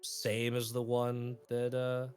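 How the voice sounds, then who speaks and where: A man's voice: medium pitch, monotone, takes it slowly, darkish tone, soft background music. A man running a tabletop roleplaying game as game master, narrating in a loose way with lots of fillers and laughs.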